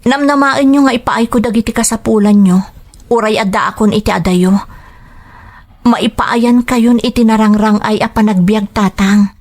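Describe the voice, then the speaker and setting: A woman's voice: fairly high pitch, normal speed, a little mellow, close to an everyday voice. A woman playing a dutiful but determined young working daughter in a radio drama, earnest and pleading, with an Ilocano Filipino accent. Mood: dutiful, reassuring.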